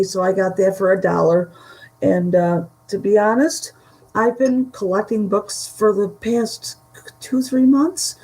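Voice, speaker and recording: A woman from the Buffalo area with a Western New York Great Lakes accent, chatting slowly with many pauses on a live stream from home. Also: mid-range voice, darkish tone, poor audio. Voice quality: gravelly. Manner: slow, halting, many fillers. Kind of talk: casual live stream chat. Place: home room.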